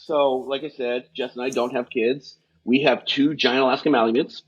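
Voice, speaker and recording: A man's voice: medium pitch, fairly even intonation, breathy edge. A middle-aged man, a guest on a podcast, talking in long, rambling run-on stretches in casual conversation. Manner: rapid, rambling run-on talk. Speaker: guest, firefighter rehab specialist. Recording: dull lo-fi audio.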